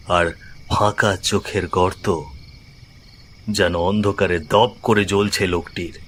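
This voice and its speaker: A man narrating a scripted horror story in a measured, suspenseful way, phrase by phrase. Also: low-pitched, a little hiss or hum.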